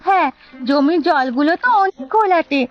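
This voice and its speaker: A woman's voice: high-pitched, normal speed, dry recording, lo-fi sound, natural voice. A woman voicing a caring village wife in a Bangla cartoon, coaxing and matter-of-fact. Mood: observant.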